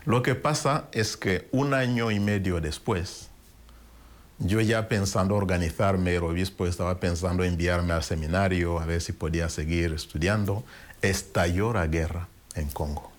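Deep-voiced man calmly recounting his story. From Rwanda. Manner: reflective, unhurried storytelling with frequent restarts. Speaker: interview guest. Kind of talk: personal testimony in interview.

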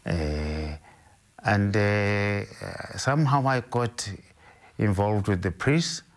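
A middle-aged man from Zimbabwe whose first language is Ndebele, a bishop answering interview questions in a halting way with many restarts. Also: lowish voice.

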